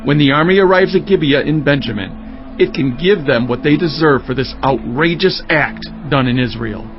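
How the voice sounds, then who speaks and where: A man's voice: on the high side, moderate pace, lo-fi sound, faint music behind, lightly acted. A man playing an indignant Israelite warrior leader in dramatized scripture, declaiming emphatically. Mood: resolute, indignant.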